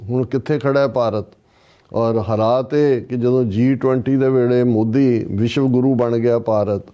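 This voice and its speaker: A man with a Punjabi accent and a dark, mellow voice at a middling pitch. He talks through politics conversationally as a show commentator, sardonic and pointed in his emphasis.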